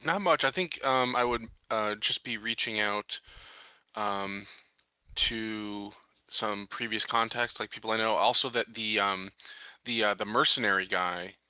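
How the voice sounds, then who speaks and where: A man's voice: lowish voice, a little slow, bright, forceful tone, clear, resonant tone, dry recording. A man playing a tabletop game, speaking casually and hesitantly as he searches for words.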